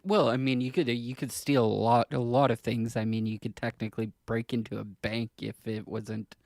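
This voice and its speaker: Guy on a podcast, lots of vocal fry, kinda halting and hesitant, really dry sound, chatting casually. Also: mid-range voice, level tone, a little mellow, no echo. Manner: hesitant, halting delivery with trailing clauses. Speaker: podcast co-host.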